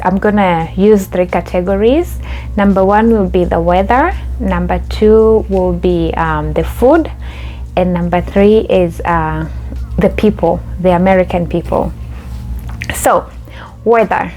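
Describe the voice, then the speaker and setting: A woman's voice: mid-range voice, a little mellow, rough recording. A young adult woman from Kenya hosting her own vlog, telling a story in a chatty way with lots of fillers and restarts. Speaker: YouTube host.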